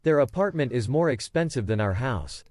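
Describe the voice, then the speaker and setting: A computer-generated male voice reads out short practice sentences. Its delivery is even and clipped. The sound is completely dry, with no room to it. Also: mid-range voice, moderate pace, dry recording. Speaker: app voice reading example sentences. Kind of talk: text-to-speech sentence reading.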